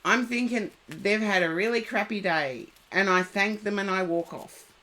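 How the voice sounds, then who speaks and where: A woman with a head cold, giving tarot readings and gentle advice to viewers on a live stream. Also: medium pitch, low-quality recording. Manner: slow, unhurried, gently advising viewers by name.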